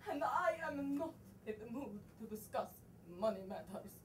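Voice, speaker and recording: A woman acts out a proud young widow in a stage play, high-voiced and imperious. She speaks in grand, mournful, theatrical tones, picked up from far off with some noise around her. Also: expressive, normal speed, natural voice. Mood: haughty, firm. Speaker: student actor. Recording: distant stage recording with noise.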